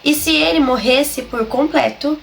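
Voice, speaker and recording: A woman with a Brazilian accent who hosts a horror story channel and narrates slowly and dramatically, her voice nasal and a little hoarse from a flu. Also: high-pitched, faint music behind. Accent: Brazilian Portuguese. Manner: slow, dramatic storyteller's delivery. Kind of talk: slow scripted horror narration.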